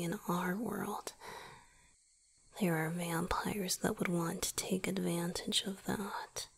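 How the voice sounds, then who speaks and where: A woman voicing a gentle, weary male vampire boyfriend in an intimate ASMR roleplay, soft and breathy, often whispering, explaining tenderly with hesitant pauses. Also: lowish voice, a little hiss or hum.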